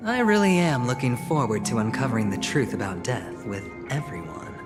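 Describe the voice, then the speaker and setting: An actor voicing a smooth, scheming young corporate envoy in a video game, theatrical, insinuating and playfully persuasive. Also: male voice, mid-range voice, animated, normal speed, big-room sound, lightly acted. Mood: breezy, friendly. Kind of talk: video game character dialogue. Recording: music playing behind the voice.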